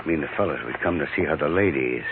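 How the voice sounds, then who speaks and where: An actor playing a gruff, loyal frontier miner in a 1950s radio drama. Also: male voice, extremely low pitch, rapid-fire. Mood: gruff, concerned. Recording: tinny 1950s radio recording, faint noise.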